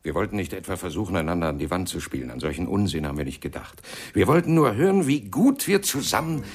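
A man acting in a German radio play as a hesitant fellow trying out a jazzman's reminiscences, with a gravelly, husky voice. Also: deep voice, very animated. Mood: nostalgic. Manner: halting, unsure, breaking off to ask for approval.

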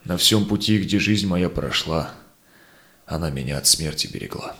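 A man acting a seasoned, honorable Cossack explorer in a verse audio drama, with solemn, declamatory, measured delivery. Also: sits low, sharp tone. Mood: wistful. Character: seasoned Cossack ataman explorer, honorable, sorrowful, longing for home.

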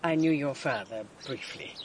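A woman's voice: rumbling low, moderate pace, a little hiss or hum. A woman playing a forthright elderly great-aunt in a radio drama. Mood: brisk, probing. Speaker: actor.

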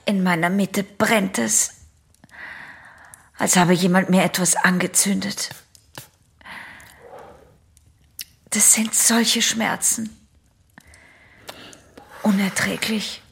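A woman's voice: medium pitch, steady tone, slow, vocal fry, long pauses, a bit bright, slightly rough, heavily acted. An actress playing an anxious teenage girl patient in a German radio play, hesitant, secretive and intense. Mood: pained.

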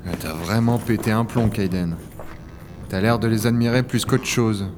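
An actor playing a gruff, impatient but well-meaning dragon hunter in a French sci-fi audio drama, speaking in blunt colloquial language. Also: male voice, fairly low pitch, normal speed, background noise, lightly acted. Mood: familiar, exasperated. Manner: colloquial, swearing, matter-of-fact. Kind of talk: French science-fiction audio drama with first-person narration. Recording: music behind the voice.

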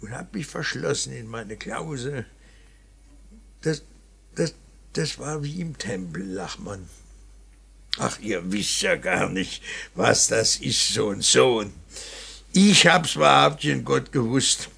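A stern older painter and father in a radio drama. His voice is gravelly and husky, and he talks in blustery, insistent bursts at an unhurried pace, hammering on words again and again. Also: medium pitch, animated, pushed and bright, full character voice. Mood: brooding, troubled. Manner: blustery, emphatic, repetitive, muttering asides. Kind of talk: German radio play acting.

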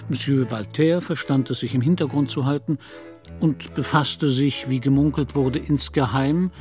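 A man's voice: mid-range voice, fairly even intonation, moderate pace, darkish tone, soft background music, lightly acted. A man narrating a literary audio drama in German as a scripted storyteller.

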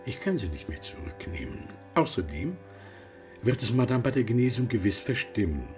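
An actor playing a sly, insistent merchant and moneylender in a radio drama, speaking in a calculating, smooth-tongued way. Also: male voice, medium pitch, very animated, moderate pace, darkish tone, faint music behind, close to an everyday voice.

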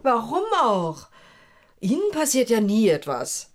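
An actress playing a detective's sharp-witted, sardonic secretary in a German radio crime drama, with a brisk, teasing manner. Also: female voice, fairly high pitch, sing-song. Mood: mocking.

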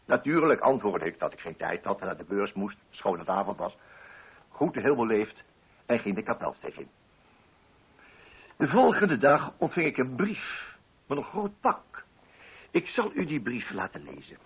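A man's voice: fairly low pitch, big pitch swings, moderate pace, pauses often, slightly rough, exaggerated voice. A man playing a pompous, fussy, middle-aged coffee broker with a Netherlands Dutch accent, in a dramatized radio monologue. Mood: self-important, narrating. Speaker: narrating lead character. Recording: muffled, band-limited radio drama recording.

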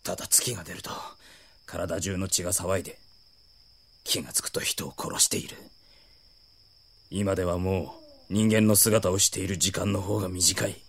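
A man voicing a fierce, tormented werewolf in a Japanese anime-style audio drama, with a gruff, brooding delivery. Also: deep voice, steady tone, normal speed, pauses often, heavily acted. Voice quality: gravelly.

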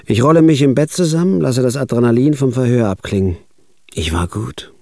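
A man's voice: medium pitch, brisk, vocal fry, warm tone, no echo, natural voice. An actor playing a wry, nervous hitman who narrates his own story dryly in a radio drama. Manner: dry, understated first-person narration.

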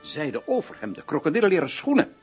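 An actor in a Dutch radio play playing an outraged official, sarcastic and emphatic about waste. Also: male voice, fairly high pitch, wildly swooping pitch, fairly quick, faint music behind. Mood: outraged sarcasm. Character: scornful male official outraged by lavish undercover expenses.

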